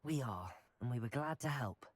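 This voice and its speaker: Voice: medium pitch, expressive, moderate pace, dry recording, lightly acted. An actor voicing a polite, adventurous teenage student wizard in a video game, composed. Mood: modest. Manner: courteous, composed.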